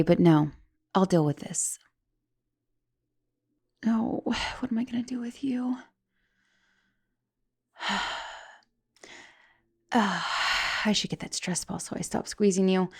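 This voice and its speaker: Woman acting a creaky-voiced, flustered young giantess. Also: on the low side. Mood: weary, careful. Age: young adult. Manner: hesitant, stammering, slipping into soft whispers. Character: flustered, guilty, caring young giantess college student. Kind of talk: ASMR roleplay, intimate one-sided dialogue.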